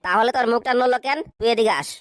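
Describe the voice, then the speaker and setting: Woman acting a bossy, scolding cartoon schoolteacher, high voice. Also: no echo, clean studio sound, close to an everyday voice. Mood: angry scolding. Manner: scolding, hectoring, rapid questioning. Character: bad-tempered bullying schoolteacher, scolding and beating pupils. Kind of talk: comic cartoon dub.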